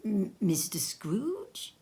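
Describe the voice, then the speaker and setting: A woman voicing a portly old gentleman, flustered, stammering in a breathless whisper. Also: mid-range voice, big pitch swings, drawn-out words.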